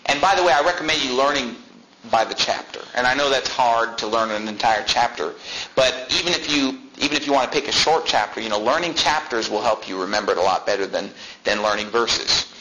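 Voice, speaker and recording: A man's voice: mid-range voice, sharp tone. A man in his twenties telling a personal story to a live audience in a chatty, self-deprecating way. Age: 20s. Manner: chatty and self-deprecating, with frequent "I mean" and quick laughs. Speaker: speaker addressing a congregation. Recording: echoey room, faint background noise.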